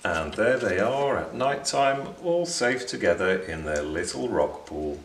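Male storybook reader, thirties, expressive and unhurried, wide melodic swings, roomy sound. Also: fairly low pitch, slight background noise. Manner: measured, dramatic delivery for young listeners. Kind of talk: expressive read-aloud narration of a rhyming picture book. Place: small indoor room beside bookshelves. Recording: roomy indoor echo.

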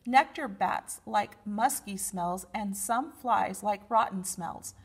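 A middle-aged woman with a slightly husky voice, reading picture books aloud expressively for young children as a library storytime reader. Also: mid-range voice, takes it slowly.

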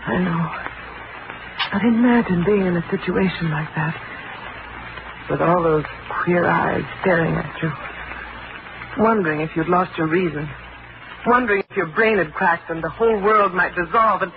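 A nervous young newlywed, voiced by a woman in an old radio drama, fretful and quick to fear. Her voice is breathless and uneasy. The sound is dull and hissy like an aged broadcast. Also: lowish voice, close to an everyday voice. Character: anxious young newlywed bride. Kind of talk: 1940s radio acting.

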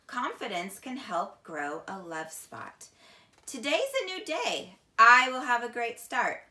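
A middle-aged woman reading a children's picture book aloud in a deliberate, expressive teacher's manner. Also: mid-range voice. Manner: slow, deliberate, expressive storytelling. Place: small indoor room, seated on couch. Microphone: camera a few feet away. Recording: echoey room.

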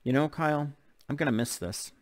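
A man's voice: on the high side, fairly quick, dry recording, pitched above the performer's own voice, lightly acted. Man reading as a nagging, doting mother. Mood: wistful. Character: aggravated, teasing, doting single mother.

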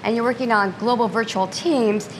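A woman's voice: a little high, normal speed, slight background noise, rough recording. Woman giving a conversational tech talk. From United States. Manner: conversational, with frequent ums and restarts. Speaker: guest speaker. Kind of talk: lecture presentation. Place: conference room with slides. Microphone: lectern mic, later handheld.

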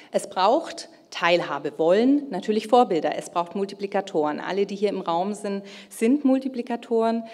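Middle aged woman doing a formal keynote, deliberate delivery, big echoey hall, normal pitch. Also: darkish tone. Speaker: keynote speaker. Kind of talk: formal conference lecture, partly read from notes. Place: large indoor auditorium stage at a lectern. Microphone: gooseneck lectern microphone. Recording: reverberant hall sound.

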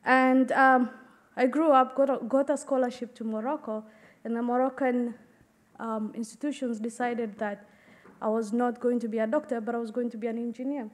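A woman from Kenya addresses a conference audience from a podium microphone. Her voice sits a little high, and she speaks in an earnest, measured way with the odd filler. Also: steady tone. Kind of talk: prepared talk at a podium. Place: conference stage, indoors.

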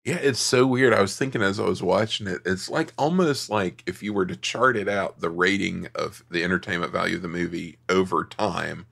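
A man's voice: sits low, a little fast, creaky, hardly any pauses, fairly bright tone. A man co-hosting a film podcast, chatting casually and thinking aloud with many restarts. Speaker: podcast co-host. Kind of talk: casual film review chat.

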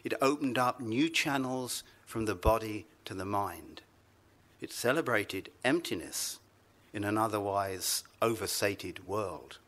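A man reading his own literary story aloud to a live festival audience, measured and wry. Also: fairly low pitch, lively intonation, a bit bright.

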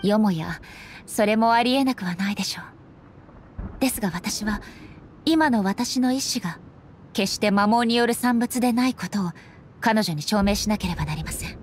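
A woman voicing a resolute warrior deity in an anime game, speaking solemnly with formal, measured phrasing. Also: lightly acted. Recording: faint low rumble behind voice. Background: faint rumbling noise.

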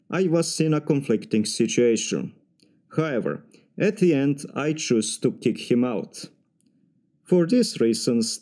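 A man with a Serbian accent giving formal, measured practice answers for a timed speaking exam. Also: medium pitch, mellow. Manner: formal, measured, slightly stiff phrasing. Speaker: mechanical engineer practicing test answers.

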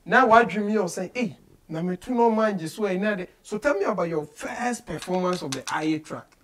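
A man in his thirties with a Ghanaian accent, hosting a casual interview in an animated, emphatic way and switching between English and Twi. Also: sits high, a little fast. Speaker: radio show host. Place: small radio studio office, indoors.

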